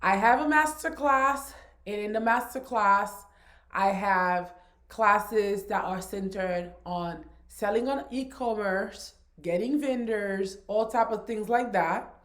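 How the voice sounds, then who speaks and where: Haitian-born woman, business coach, unhurried teaching delivery, medium pitch. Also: a bit bright. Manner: slow, deliberate, encouraging. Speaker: business strategist. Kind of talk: course overview presentation.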